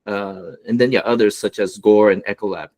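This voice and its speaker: A man from Los Angeles giving an online business presentation as a guest mentor, with a relaxed delivery and many fillers. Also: fairly low pitch, a little fast. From United States, Los Angeles.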